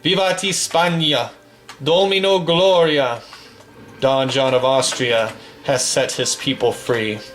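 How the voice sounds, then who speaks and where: A man reciting a long poem from memory in a slow, declamatory manner, swelling on the refrains, then chatting casually about a game. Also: mid-range voice, steady tone, fairly bright tone. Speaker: poetry reader and gamer.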